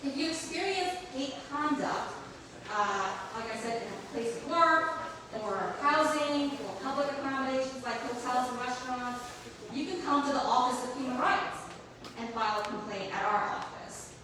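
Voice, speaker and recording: A woman on a panel from a human rights office, explaining to a public audience in an energetic, emphatic way. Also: high voice, moderate pace. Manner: energetic and emphatic, says she has a loud voice. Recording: echoey room, mic from a distance, background noise.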